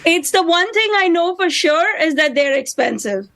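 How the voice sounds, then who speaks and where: A middle-aged Indian woman with a notably high voice talks over a video call. She delivers her jokes in a punchy, deadpan way and makes fun of herself as a guest comedian. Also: moderate pace.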